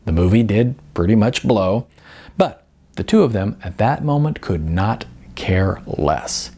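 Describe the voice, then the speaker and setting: A man reading a novel aloud with an expressive storytelling delivery. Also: fairly low pitch, unhurried, breathy edge. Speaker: audiobook narrator. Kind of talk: scripted narration of a novel.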